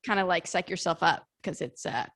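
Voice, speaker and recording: A woman's voice: on the low side, animated, fairly quick, a bit bright, no room sound. American woman chatting casually, very dry sound. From Illinois, United States. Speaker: podcast guest, actor. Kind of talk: casual anecdotal chat.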